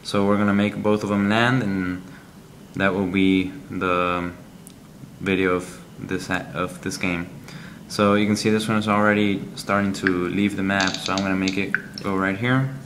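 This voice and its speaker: A man reviewing a game app, walking through its screens in a halting, explanatory way with frequent ums. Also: deep voice, flat delivery, fairly bright tone, slight background noise.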